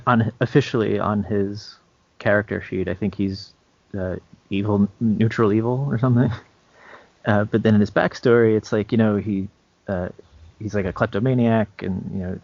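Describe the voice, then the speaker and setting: Gravelly low-voiced man, close dry recording. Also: dry recording, lo-fi sound. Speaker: podcast co-host. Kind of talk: casual chat.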